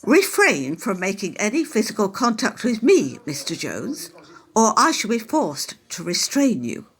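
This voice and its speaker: An actress playing a humourless, by-the-book care worker in an amateur radio play, with stiff, over-formal wording. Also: female voice, mid-range voice, big pitch swings, a little slow, breathy edge, exaggerated voice. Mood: officious.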